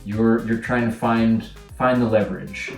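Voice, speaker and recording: A man working as a director, giving actors casual, measured feedback and searching for his words. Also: on the low side, fairly even intonation, gravelly, a little mellow, roomy sound, soft background music.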